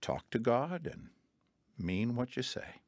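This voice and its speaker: An actor playing a patient mission counselor in a radio drama, talking calmly and earnestly and quoting scripture. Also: male voice, mid-range voice, slow pace, no room sound. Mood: gentle. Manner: calm, earnest, quotes scripture.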